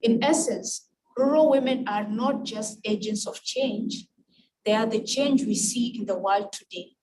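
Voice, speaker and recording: A woman with a Kenyan accent and Luo as her first language gives a formal, measured prepared speech with emphatic phrasing. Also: a little high. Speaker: guest speaker at an international women's event. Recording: distant mic with clear background noise.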